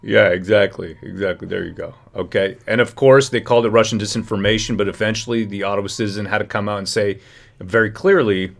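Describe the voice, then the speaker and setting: A man with a low voice hosts a live commentary stream and presses his points hard to the audience. He sounds close to the mic. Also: fairly even intonation, fast, gravelly. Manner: emphatic, talks straight to viewers. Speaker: livestream host. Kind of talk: political commentary livestream.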